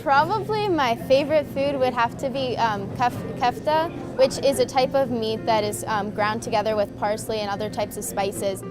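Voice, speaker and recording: A woman's voice: on the high side, moderate pace, hardly any pauses, over music. A teenage girl in eleventh grade, born in the United States, talking fluently and easily about her family's market. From born in the United States, family from Lebanon. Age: teens. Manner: fluent, relaxed, clear. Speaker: student showing her community. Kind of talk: casual documentary talk. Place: market and grill, indoors.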